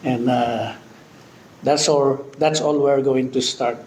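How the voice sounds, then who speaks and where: A man in his fifties with a Filipino accent preaching a sermon, often breaking in with amen and hallelujah and pausing on fillers. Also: mid-range voice, steady tone, a little mellow. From Philippines. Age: 50s. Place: church hall. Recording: echoey church hall with faint background noise.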